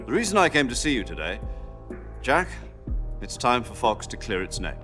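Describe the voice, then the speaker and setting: Dry veteran commander, mid voice, music under it. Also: male voice, mid-range voice, sing-song, moderate pace, bright, forceful tone. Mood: briefing. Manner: dry and matter-of-fact. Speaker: commander briefing a soldier. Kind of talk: video game cutscene acting. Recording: game audio with score behind.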